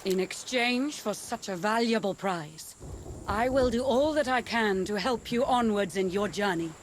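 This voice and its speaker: A woman's voice: mid-range voice, animated, a little hiss or hum, natural voice, poor audio. Woman playing a solemn, cryptic mystic. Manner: slow, measured, solemn. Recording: game cutscene with music behind.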